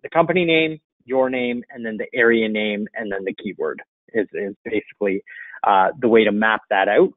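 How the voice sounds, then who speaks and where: A man's voice: medium pitch, dry recording. A middle-aged man answering questions informally as a webinar host, explaining things conversationally at his computer. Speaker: webinar host and marketing coach. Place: indoor room, seated at a computer. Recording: dull, dry lo-fi webinar audio.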